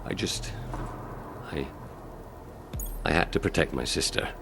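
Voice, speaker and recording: An actor playing a guilt-ridden, protective police lieutenant in a video game, speaking in an earnest, guarded, halting way. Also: male voice, a bass voice, vocal fry, background noise, full character voice. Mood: halting, defensive. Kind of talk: video game dialogue acting.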